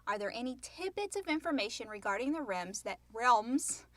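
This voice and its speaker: A woman's voice: a little high, very animated, normal speed, dry recording. Woman co-hosting a casual podcast, dry sound. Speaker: podcast co-host. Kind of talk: casual Q&A chat.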